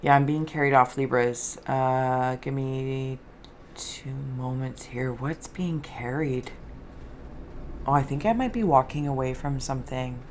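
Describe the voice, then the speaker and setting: A woman hosting an improvised spiritual card and scrying reading, soft-spoken, with a dreamy, meandering, alliterative way of talking. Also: rumbling low, a little slow, slight background noise. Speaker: host, tarot and scrying reader.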